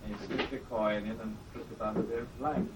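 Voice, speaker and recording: A man explains things slowly and patiently, laying them out one step at a time in an easy, conversational way. He is heard from a distance, with clear background noise under his voice. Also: on the low side, flat delivery. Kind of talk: casual chat.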